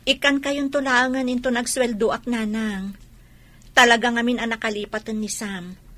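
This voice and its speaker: A woman acting a young Filipino daughter-in-law in a radio drama, with a husky voice. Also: a little high, fairly even intonation, normal speed, fairly bright tone, no echo, close to an everyday voice. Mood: patient, apologetic. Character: generous young daughter-in-law, patient yet troubled.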